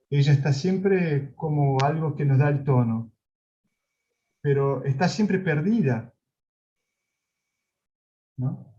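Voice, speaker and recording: A man, a professor being interviewed, talking reflectively and hesitantly, with many pauses and restarts, over a video call. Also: a little high, warm tone. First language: Spanish. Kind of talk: reflective academic conversation. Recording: dull lo-fi video call audio.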